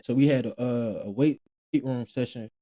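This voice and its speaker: A man's voice: mid-range voice, normal speed, dark tone, dry recording. A young man, a former college football player chatting loosely as an interview guest, full of slang and restarts. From Philadelphia, Pennsylvania. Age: 20s. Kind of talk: casual interview talk.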